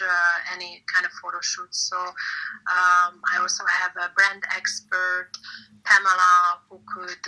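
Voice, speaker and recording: A woman's voice: on the low side, pushed and bright, low-quality recording. A woman with a Hungarian accent, talking as an interview guest in casual chat, hesitant with many fillers.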